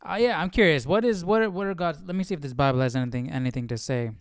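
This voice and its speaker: A man's voice: on the high side, racing, no room sound, studio quality. A man hosting a live Bible study, informal and curious, thinking aloud as he chats and reads. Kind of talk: casual livestream chat and reading aloud.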